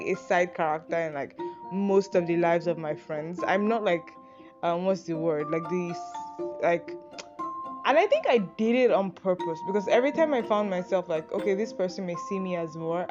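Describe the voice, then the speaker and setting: A young Nigerian woman with a Nigerian accent, rambling through an advice list in a self-deprecating, casual monologue full of fillers and swearing. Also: lowish voice. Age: young adult. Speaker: podcast host. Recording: dull lo-fi sound with music behind.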